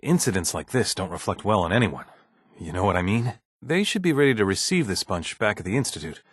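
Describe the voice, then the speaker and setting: Game role: mild bureaucrat scientist, man, lowish husky voice, courteous, hesitant, slightly uneasy office professional. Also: sing-song, dry recording, studio quality. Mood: awkward, matter-of-fact. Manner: hesitant, deferential, measured office-professional speech. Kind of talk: video game dialogue acting.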